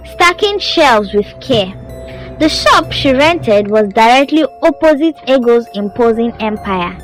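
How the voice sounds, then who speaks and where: Slow, animated woman storyteller over music. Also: fairly high pitch, rough recording. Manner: dramatic, suspenseful storytelling delivery. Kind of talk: scripted folktale narration. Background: music under the voice.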